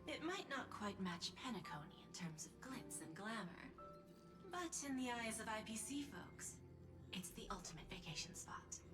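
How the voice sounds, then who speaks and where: An actress playing a poised, persuasive corporate executive in a video game, speaking formally in measured phrases. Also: female voice, mid-range voice, sing-song, music in the background, natural voice. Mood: persuasive, assured. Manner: formal, smooth-talking, measured. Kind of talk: scripted video game dialogue.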